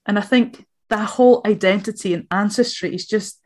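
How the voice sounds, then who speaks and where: A woman's voice: mid-range voice, moderate pace, a little mellow. Brief: woman interviewer, Scottish accent, reflective and conversational. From Latvia.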